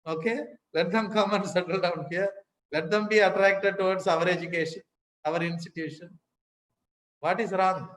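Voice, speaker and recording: An older man with an Indian accent giving a formal lecture, emphatic and pausing often, repeating key words. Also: high voice, fairly even intonation, a bit bright, slight background noise. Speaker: academic speaker. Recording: echoey hall.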